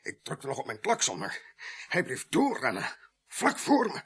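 A man's voice: mid-range voice, wildly swooping pitch, unhurried, a bit bright, husky, heavily acted. An actor playing a tipsy, shaken well-to-do man in his fifties in a radio play, faltering and repeating himself. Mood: shaken, haunted. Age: 50s.

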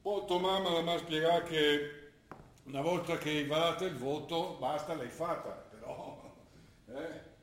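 A man playing a gruff Trentino father. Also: on the high side, unhurried, lightly acted. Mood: teasing, proud. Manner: dialect-laced, teasing then withdrawn and terse. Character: elderly father from the mountains, gruff and hurt. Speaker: stage actor. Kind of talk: theatre drama broadcast on radio. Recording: roomy stage sound with faint noise.

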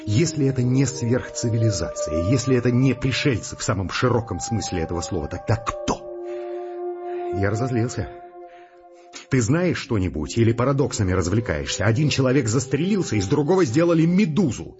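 A man's voice: mid-range voice, lively intonation, brisk, highs cut off, over music, close to an everyday voice. An actor playing an agitated, sardonic male scientist who argues and broods in a Russian radio drama. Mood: impatient, irritated. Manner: conversational, sardonic, impatient. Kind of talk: Russian radio drama acting and first-person narration.

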